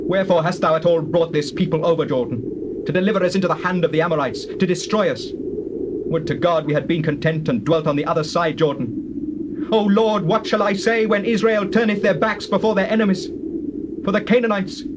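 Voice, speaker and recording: Male actor as fervent Israelite commander, high voice, solemn oratory, audio Bible drama, clear tape noise. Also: a little fast, lightly acted. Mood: anguished, pleading. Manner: declamatory, archaic solemn oratory. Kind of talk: dramatized scripture audio acting. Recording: noisy old cassette tape.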